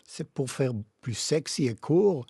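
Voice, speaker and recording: A man with a foreign accent explains his theory as a guest in a radio interview. His voice is rough-edged and swings widely in pitch, recorded dry and close, with emphatic, halting phrasing. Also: lively intonation, mellow, no echo. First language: not French. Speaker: guest philosopher and author. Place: radio studio.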